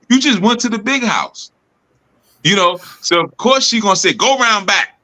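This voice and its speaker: A man's voice: high voice, very animated, unhurried, pushed and bright. A man leading a group talk, teaching loosely with laughs and lots of "you know" and "man". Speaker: spiritual group leader.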